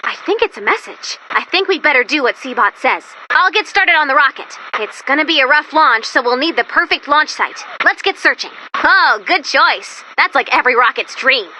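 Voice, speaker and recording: Very high, perky robot guide. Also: female voice, lively intonation, moderate pace, hardly any pauses, sharp tone, a little hiss or hum, exaggerated voice, rough recording. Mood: excited, chipper. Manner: chipper, quirky, swinging between eager and sheepish. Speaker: mission guide addressing the player as Commander. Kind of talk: video game character voice-over.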